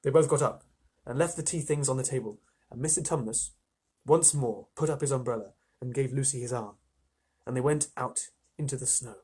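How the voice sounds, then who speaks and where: Husky man reading a story aloud. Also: medium pitch, a little fast, dark tone. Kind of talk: scripted story narration with casual asides.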